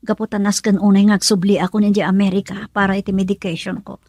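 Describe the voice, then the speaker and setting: A gravely ill young woman in love voiced by a radio actress. Her voice is husky and breathy, recorded close and dry. She speaks tenderly and haltingly, resigned and sorrowful, often sinking toward a whisper in romantic radio drama style. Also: mid-range voice, level tone, no echo, natural voice. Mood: sad, confessing. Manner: soft, halting, emotional delivery, often near whisper.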